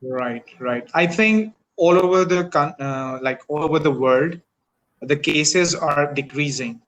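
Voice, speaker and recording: A man's voice: fairly high pitch, unhurried, rough recording. A man from Pakistan, whose first language is Urdu, chatting on a video call in careful, hesitant English with frequent uhs. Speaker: English teacher and channel host. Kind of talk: casual video call chat.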